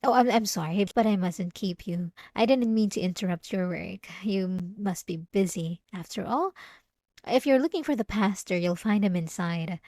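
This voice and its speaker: A woman's voice: medium pitch, normal speed, a little mellow, no room sound, lightly acted. A woman acting a sweet, pious young church girl who is secretly obsessive, in an ASMR roleplay with a demure, hesitant, over-polite manner. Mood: gentle, gracious. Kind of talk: ASMR roleplay acting, addressing the listener directly.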